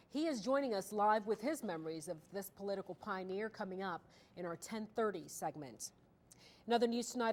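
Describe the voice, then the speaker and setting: An American woman working as a TV news anchor, reading scripted news narration. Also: medium pitch. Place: TV news studio.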